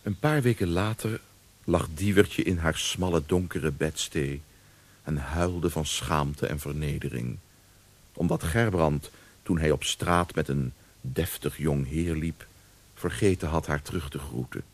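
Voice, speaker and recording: A man's voice: very deep voice, measured pace. A man narrating a scripted radio drama as storyteller.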